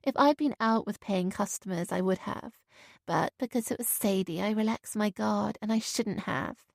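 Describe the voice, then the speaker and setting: A woman voicing a soft-spoken, remorseful mother in a storybook reading, quiet and subdued. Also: medium pitch, normal speed, gravelly, no echo, clean studio sound, natural voice. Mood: guilty.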